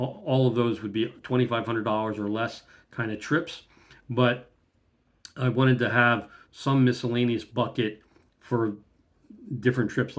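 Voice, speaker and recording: A middle-aged man speaks to a close webcam in a room at home, in an ordinary mid-range voice. He goes through one number after another in a plain, methodical way, with a few ums and uhs along the way. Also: medium pitch. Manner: plain, methodical, reads out lots of figures with fillers. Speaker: vlogger. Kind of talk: casual talk to camera.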